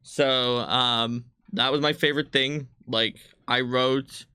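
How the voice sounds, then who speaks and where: Male game designer, casual panel explainer, detailed and rambling, medium pitch, dry recording. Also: fairly even intonation, slow, sharp tone, clean tone, no echo. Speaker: game designer answering viewer questions on a livestream. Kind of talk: casual panel chat about game rules.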